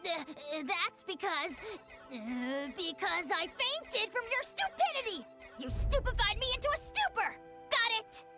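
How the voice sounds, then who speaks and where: A woman voicing a tiny floating girl companion in a video game who talks in an excitable, shrill way. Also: music in the background, exaggerated voice. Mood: indignant. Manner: shrill, excitable, quick to protest. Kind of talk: video game cutscene voice acting.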